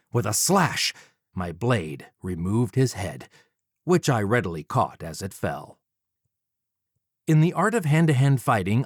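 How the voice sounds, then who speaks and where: A man narrating an audiobook from a script in a measured, formal way. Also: lowish voice, no room sound, studio quality. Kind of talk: scripted first-person narration.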